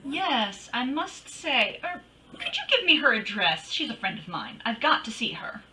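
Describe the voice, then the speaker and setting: A woman's voice: on the high side, sing-song, moderate pace, sharp tone, a little hiss or hum, heavily acted. A woman playing a bold, wisecracking private detective in an old-time radio drama, with a breezy, teasing, wry delivery. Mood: breezy, sly. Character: bold, wisecracking female private detective narrating her case.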